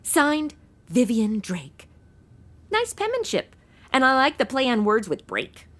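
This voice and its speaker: A woman's voice: sits high, huge pitch swings, unhurried, a bit bright, full character voice. An actress playing a breezy, scatterbrained young modern woman in a comedy audio drama, flippant and full of slang. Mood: amused, flippant. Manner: flippant, slangy Valley-girl phrasing with "like" and OMG. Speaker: lead character and narrator.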